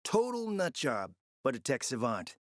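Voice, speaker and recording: A man's voice: medium pitch, huge pitch swings, moderate pace, no room sound, studio quality. An actor playing a smug, flirtatious, decadent gourmand fixer in a video game, speaking in a pompous, theatrical, condescending way and savoring his words. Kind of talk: video game character acting.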